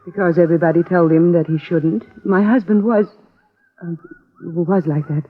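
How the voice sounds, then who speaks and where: An actress playing an anxious, hesitant wealthy planter's wife in a 1950s radio drama. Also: female voice, fairly low pitch, fairly even intonation, moderate pace, natural voice. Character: anxious wealthy planter's wife awaiting news of her husband. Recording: tinny hissy old radio broadcast.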